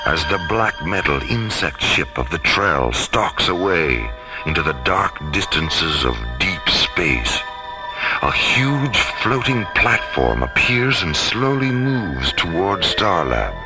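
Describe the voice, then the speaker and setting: Very deep dramatic male narrator. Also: big pitch swings, music in the background, poor audio. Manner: grand, measured storytelling. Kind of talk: dramatic scripted sci-fi radio narration.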